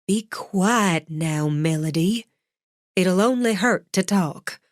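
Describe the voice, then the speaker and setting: A woman voicing a stern elderly grandmother who speaks firmly and slowly. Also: lowish voice, dry recording, studio quality. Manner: firm, clipped, slow and commanding.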